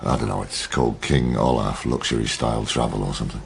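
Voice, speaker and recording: An actor playing a blunt, sweary, gruff middle-aged detective in a naturalistic radio drama, with a gravelly voice. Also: male voice, very deep voice, fairly even intonation, fast. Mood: blunt, businesslike.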